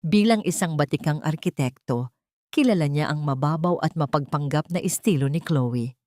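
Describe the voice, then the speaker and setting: A woman's voice: deep voice, normal speed, darkish tone, no echo, studio quality. A woman reading a scripted dramatic story as a storyteller.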